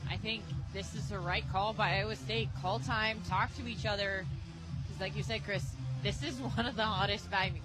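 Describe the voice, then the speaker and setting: An American woman working as a color analyst on a live softball broadcast, conversational and emphatic. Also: medium pitch, steady tone, moderate pace, over loud music, poor audio. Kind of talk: live sports commentary, casual analysis. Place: radio booth at a ballpark.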